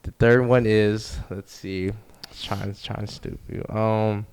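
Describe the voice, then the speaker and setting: A young man from the United States, a baseball player guest, talking casually in an interview with a relaxed, rambling way of telling stories. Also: fairly low pitch, takes it slowly, clear, resonant tone. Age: young adult. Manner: relaxed, rambling storytelling with fillers.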